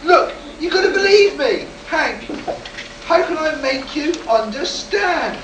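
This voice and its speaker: An actor playing a shaken, insistent test pilot in a live sci-fi radio play, with dramatic, urgent delivery. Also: male voice, extremely high pitch, very animated, slow pace, heavily acted. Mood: urgent pleading. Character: agitated, insistent rocket test pilot shaken by an alien encounter. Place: live performance in a room at a convention. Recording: roomy, distant, noisy live convention stage recording.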